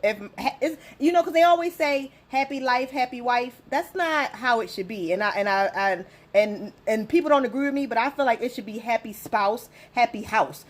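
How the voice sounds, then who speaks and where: Animated fortyish woman host. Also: fairly high pitch, moderate pace, hardly any pauses. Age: 40s. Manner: animated storyteller, acts out quotes, laughs often. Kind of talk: casual chat on relationships.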